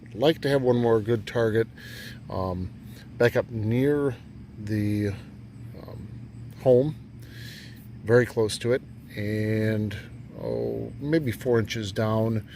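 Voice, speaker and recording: A man with a gravelly voice giving a halting, understated casual vlog narration outdoors. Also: mid-range voice, dark tone. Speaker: metal detecting vlogger. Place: outdoors on rural farm property. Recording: noticeable outdoor background noise.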